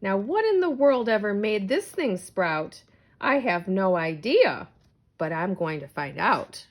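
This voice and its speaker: A woman's voice: mid-range voice, big pitch swings, measured pace. Woman playing a pompous, learned school principal. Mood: astonished.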